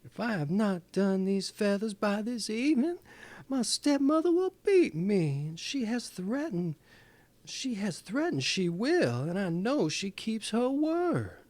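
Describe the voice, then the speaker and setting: A man's voice: high-pitched, very animated, a little slow, a little mellow, no echo, pitched above the performer's own voice. A man voicing a miserable, put-upon young girl in a fairy tale, plaintive and pleading. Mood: frightened, pleading.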